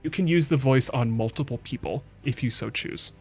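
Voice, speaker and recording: A man's voice: medium pitch, moderate pace, a little mellow, breathy edge, soft background music. A man running a tabletop game as game master, chatty and enthusiastic and laughing often.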